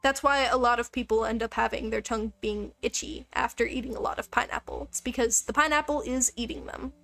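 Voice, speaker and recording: A woman's voice: on the high side, steady tone, fairly quick, gravelly, pushed and bright, faint music behind. A woman livestreaming a game, chatting casually with viewers in a joking, self-deprecating way. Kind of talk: casual rambling chat with viewers.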